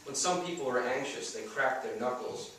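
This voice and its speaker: A man's voice: medium pitch, expressive, moderate pace, fairly bright tone. A man reading first-person fiction aloud as its author at a live bookstore event. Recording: echoey room, distant mic, faint noise.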